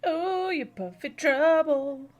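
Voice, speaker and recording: A woman's voice: high voice, takes it slowly, lo-fi sound. A woman doing casual live game commentary, blunt and irreverent as she reacts. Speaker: live streamer.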